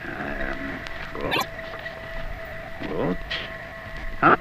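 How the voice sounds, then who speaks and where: An actor playing a cold, commanding spymaster villain in a curt, menacing 1960s radio thriller style. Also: male voice, very deep voice, huge pitch swings, drawn-out words, creaky, full character voice. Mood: businesslike, demanding. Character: commanding male villain, cold spymaster boss named Otto. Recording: noisy damaged 1960s flexidisc recording.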